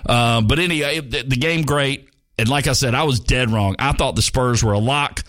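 A man's voice: mid-range voice, normal speed, a bit bright, no room sound, clean studio sound. A man in his fifties hosting sports talk radio, rambling and joking with frequent restarts. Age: 50s. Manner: rambling, jokey, many restarts. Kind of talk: casual sports talk monologue.

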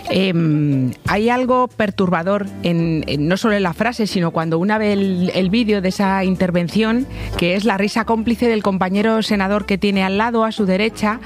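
A woman's voice: mid-range voice, moderate pace. Spanish woman commentating over background music. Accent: Peninsular Spanish. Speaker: radio show commentator. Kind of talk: opinion commentary on a morning radio show.

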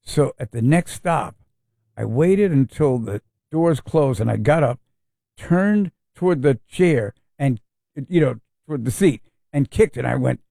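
A man's voice: medium pitch, lively intonation, a little slow, creaky, warm tone, no echo, clean studio sound. A man hosting a talk radio show and telling stories. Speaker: radio host. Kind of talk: casual talk radio chat.

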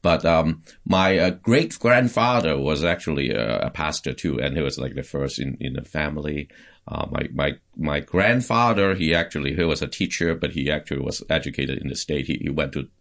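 Very deep-voiced, halting man from Hong Kong. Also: animated, gravelly, fairly bright tone. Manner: halting, hesitant phrasing. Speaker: interview guest, pastor. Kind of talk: casual conversational account.

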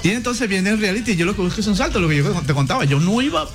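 A man, a singer telling his story in a casual interview, animated and quoting others. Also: high-pitched, rapid-fire, faint music behind. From Chiriguaná, Cesar, Colombia. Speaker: singer guest.

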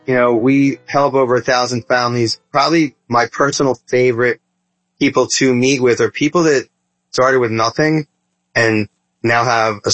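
Male podcast host, mid-pitched voice. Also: fairly bright tone, poor audio. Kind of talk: conversational interview.

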